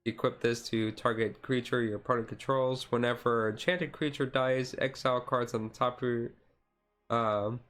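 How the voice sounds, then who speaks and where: Casual, halting man on a chill stream. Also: medium pitch, steady tone, a bit bright. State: voice tiring. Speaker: streamer, card game hobbyist. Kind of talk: casual deck walkthrough, chill ASMR stream.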